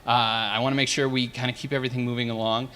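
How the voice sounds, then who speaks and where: A man giving a conference talk and taking questions from the audience, direct and energetic. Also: mid-range voice, quick pace, clear, resonant tone. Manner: direct, energetic, asks the room. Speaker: conference speaker.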